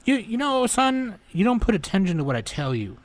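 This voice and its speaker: A man doing his gentle, weary Thai immigrant father with a Thai accent. The father talks patiently and keeps repeating "son". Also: high voice, big pitch swings, a little fast, voice pushed up. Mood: exasperated. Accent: Thai-accented English.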